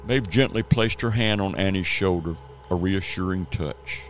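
A man's voice: low-pitched, moderate pace, soft background music. A man from the southern United States narrating a scripted radio play as a storyteller. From Savannah, Georgia, United States.

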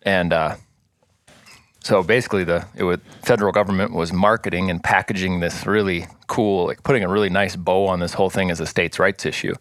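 A man from South Dakota, a podcast guest, talking casually with many pauses and restarts. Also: low-pitched, fairly even intonation, a bit bright, dry recording, studio quality. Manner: rambling, many fillers and restarts. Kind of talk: casual interview chat.

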